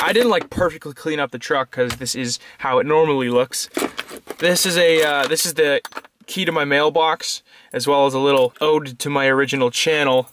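A man's voice: fairly high pitch, a little hiss or hum. Teenage guy vlogging from his truck. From Canada. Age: teens. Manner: relaxed, rambling, with fillers and asides. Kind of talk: casual show-and-tell vlog. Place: inside a pickup truck, parked. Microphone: handheld camera close up.

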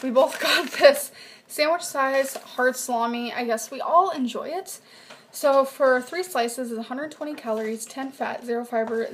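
A young woman showing her groceries in a casual home vlog, chatty and reading off nutrition numbers in a flat list, recorded on a webcam in her kitchen. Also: on the high side, a little hiss or hum. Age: young adult. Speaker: vlogger. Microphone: built-in webcam mic at desk distance.

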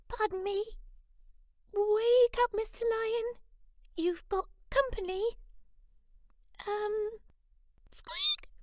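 A woman voicing a tiny, timid male mouse who squeaks and speaks nervously and politely in a storybook reading. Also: a little mellow, dry recording, studio quality, full character voice.

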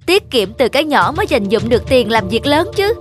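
A woman's voice: fairly high pitch, very animated, brisk, soft background music. A woman voicing a thrifty young wife in a cartoon, scolding and insistent. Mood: annoyed, scolding. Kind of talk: cartoon voice acting.

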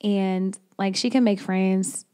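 A woman's voice: mid-range voice, steady tone, slow, mellow, clear, resonant tone. Young woman from Oklahoma, candid and slangy podcast guest on a studio mic. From Oklahoma, USA. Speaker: podcast host, guest this episode. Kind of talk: casual interview chat. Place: small studio, on a couch. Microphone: studio mic on a stand, headphones.

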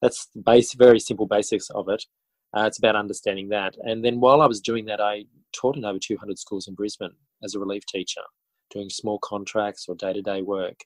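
An Australian man with a lowish voice talks as an interview guest. He is reflective and conversational, pausing and rephrasing as he goes. The sound is fairly dry. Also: level tone, dry recording, low-quality recording. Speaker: interview guest, author and teacher. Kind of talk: conversational interview answers, telling his story.

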